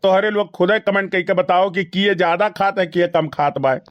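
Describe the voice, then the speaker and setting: A man's voice: high-pitched, moderate pace, dull audio, lightly acted. A man voicing an exasperated, skinny henpecked village husband in a comedy cartoon, grumbling repetitively with an Awadhi accent. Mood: aggrieved complaining. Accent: Awadhi, eastern Uttar Pradesh. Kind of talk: rustic comedy cartoon dialogue.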